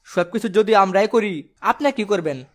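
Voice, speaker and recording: A man's voice: high voice, normal speed, natural voice. A man voicing a dim-witted, grumbling kidnapper henchman in a cartoon, naive and argumentative, with colloquial Bangladeshi Bengali. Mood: defensive. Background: music.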